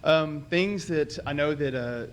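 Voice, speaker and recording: A loud-voiced man talks into a podium mic at a council meeting with faint noise behind him. He is friendly and chatty and rambles a bit. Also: on the high side, a little slow. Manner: friendly and talkative, rambling with fillers. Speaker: local business co-owner speaking at public comment. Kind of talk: public comment at a city meeting. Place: city commission chamber. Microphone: podium microphone.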